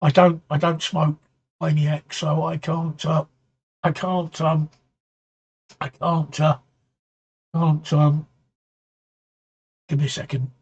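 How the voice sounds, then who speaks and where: Hoarse, husky man chatting on a stream. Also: on the high side, flat delivery, darkish tone, lo-fi sound. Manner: halting, repeats words, often clears throat. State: voice getting hoarse. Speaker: livestream talk show host. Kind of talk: casual livestream chat answering viewer comments.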